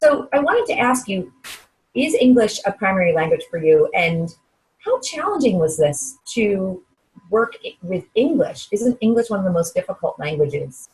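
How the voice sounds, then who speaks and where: A woman's voice: mid-range voice, very animated, moderate pace, a little mellow, low-quality recording. A woman interviewing a guest, asking friendly, curious questions.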